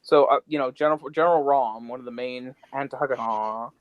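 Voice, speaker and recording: A man's voice: mid-range voice, fairly quick, no room sound, lo-fi sound. A man co-hosting a podcast with casual, opinionated banter. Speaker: podcast co-host.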